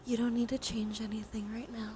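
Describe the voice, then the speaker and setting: A woman leading a guided ASMR relaxation, speaking softly and mostly in whispers, with a soothing, gentle manner. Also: on the high side, flat delivery, fairly quick. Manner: soft, mostly whispered delivery. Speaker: live streamer leading relaxation exercises. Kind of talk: ASMR guided breathing and body scan with chat asides. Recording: clear background noise.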